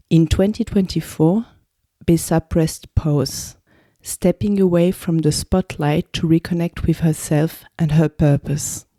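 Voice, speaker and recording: A woman hosting an interview podcast, speaking in a measured, articulate way as she gives scripted introductions and asks questions. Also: lowish voice, warm tone, no echo.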